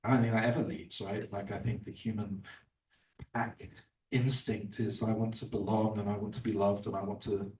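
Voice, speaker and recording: A man's voice: lowish voice, little pitch movement, normal speed, a little mellow, a little hiss or hum. A man, an author, talking casually about his book at a bookstore event, rambling with many fillers and restarts. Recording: roomy, distant from the mic.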